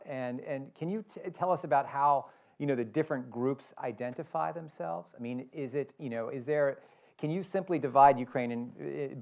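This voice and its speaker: Moderator man with muffled sound. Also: mid-range voice. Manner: asks long questions with restarts. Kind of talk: panel moderation.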